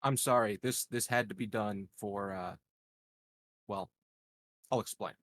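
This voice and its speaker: A man playing a deadpan, scheming political candidate in a tabletop game, speaking hesitantly and with sly politeness. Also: fairly low pitch, unhurried, dry recording, studio quality. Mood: apologetic. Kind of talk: improvised tabletop roleplay.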